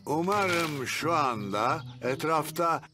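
A man's voice: fairly high pitch, lively intonation, slow pace, music in the background. An actor playing a playful, mock-stern old grandfather pig in a children's cartoon, speaking theatrically. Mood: grumbly waiting.

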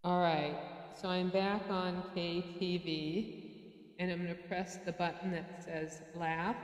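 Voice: medium pitch, flat delivery, slow pace. A person giving a casual product demonstration, talking through one item after another. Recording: echoey hall-like reverb, some background noise.

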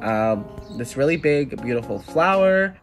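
A man's voice: medium pitch, animated, measured pace, soft background music. A young man in his twenties, congested and nasal from a cold, giving a chatty, upbeat tour of garden plants. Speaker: plant vlogger. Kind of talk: casual plant tour voice-over. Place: indoor room with plants.

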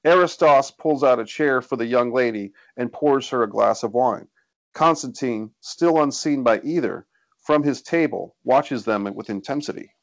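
A man's voice: medium pitch, moderate pace, vocal fry, no echo. A man reading stage directions as scripted narration at a screenplay table read, recorded indoors over a video call.

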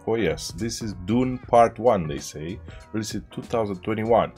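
A man in his thirties co-hosting a film reaction from a small room, talking in a relaxed, unhurried way with short agreements. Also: on the low side, faint music behind. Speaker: reaction channel co-host. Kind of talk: casual chat. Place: small bedroom studio, indoors.

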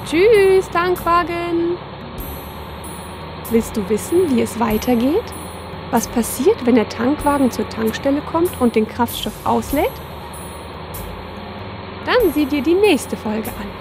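A woman's voice: high voice, animated, slow pace, long pauses, background noise. A woman reading scripted narration for a young children's educational cartoon, instructive, posing questions and answering them. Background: background music.

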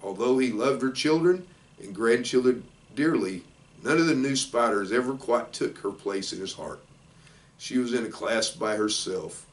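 An older man, around sixty, reading a children's storybook aloud in plain, measured short phrases. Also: mid-range voice, animated. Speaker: football coach reading a children's book aloud. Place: small indoor office. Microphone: camera a few feet away. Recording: distant microphone in a room.

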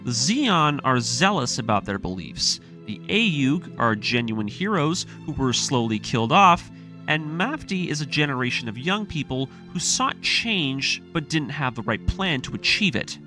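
A man's voice: medium pitch, very animated, measured pace, pushed and bright. A man narrating a story breakdown with commentary, in an earnest, emphatic, scripted storytelling manner. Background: background music.

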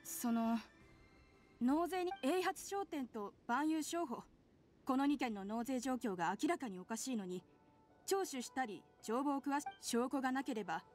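A woman's voice: high-pitched, animated, moderate pace, a little mellow, soft background music. A woman voicing an earnest young female junior official in an anime game, respectful and a little hesitant toward her senior. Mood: apologetic, troubled. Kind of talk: Japanese anime game voice acting.

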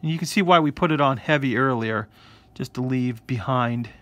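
A man hosting a casual scale-model painting tutorial, explaining each step in a relaxed way. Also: mid-range voice, normal speed. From United States. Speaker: hobby shop host. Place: hobby workbench indoors.

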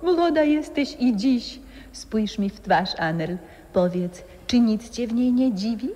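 An actress playing a calm, solemn old friend and former singer who comes visiting in a 1960s radio play. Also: female voice, on the high side, a little slow, big-room sound.

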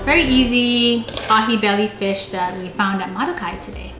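A woman's voice: mid-range voice, moderate pace, echoey room, music in the background. A woman in her thirties from Hawaii, hosting a casual home cooking vlog and talking straight to her viewers in an upbeat way. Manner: upbeat, talks to viewers directly. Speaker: cooking channel host. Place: large home kitchen.

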